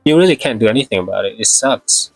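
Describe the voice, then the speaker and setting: A man's voice: on the low side, expressive, quick pace, gravelly, no echo, poor audio. Rambling male game streamer over background music. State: slightly sore throat. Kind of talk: casual live gameplay commentary.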